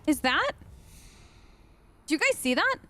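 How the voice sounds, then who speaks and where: A woman's voice: high voice, expressive, normal speed, faint music behind. A woman playing a video game and chatting casually in character, in a gushing, playful manner. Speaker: let's play host, role-playing her character.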